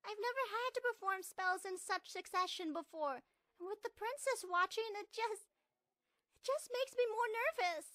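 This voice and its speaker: A woman's voice: extremely high pitch, moderate pace, bright, forceful tone, no echo. A woman voicing a nervous young female unicorn who studies magic, worried and hesitant, in an audio fan-fiction reading. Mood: anxious.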